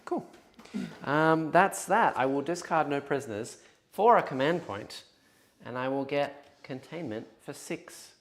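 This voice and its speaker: A man's voice: fairly high pitch, lively intonation, measured pace. A man playing a tabletop wargame on stream, talking through his rules and moves in a casual, chatty way. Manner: chatty, explains rules and moves aloud while measuring. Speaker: wargaming battle report player.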